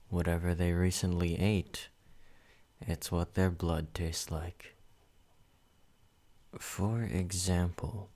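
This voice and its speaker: Man as a calm, possessive vampire for an ASMR roleplay: low, flat, unhurried delivery. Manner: slow, low and measured, addressing the listener.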